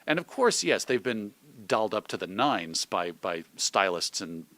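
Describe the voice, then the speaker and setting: A middle-aged American man talking as an interview guest in an animated, thoughtful, digressive conversational way. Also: on the low side, measured pace, no room sound. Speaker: interview guest, magazine publisher and radio host.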